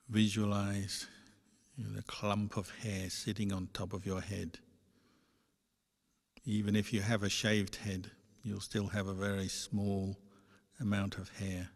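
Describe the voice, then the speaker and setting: A man who is a Buddhist monk leading a guided meditation, instructing gently and in a measured way with pauses. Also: on the low side. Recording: echoey hall with long reverb.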